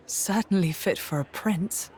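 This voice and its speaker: A man's voice: sits high, fairly bright tone. A man playing an earnest, curious boy adventurer in a video game cutscene.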